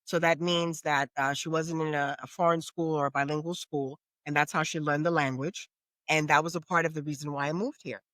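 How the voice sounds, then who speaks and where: A woman's voice: sits low, fairly even intonation, a little fast, a bit bright, no room sound, studio quality. A middle-aged American woman answering questions as a guest in a conversational podcast interview. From United States.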